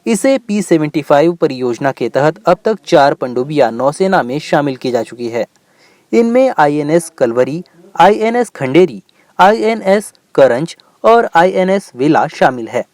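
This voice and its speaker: Guy doing news voiceover, pitch moves around a lot, dry close sound. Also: big pitch swings, measured pace, darkish tone, dry recording. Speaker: news reporter doing voice-over. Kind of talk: scripted news narration.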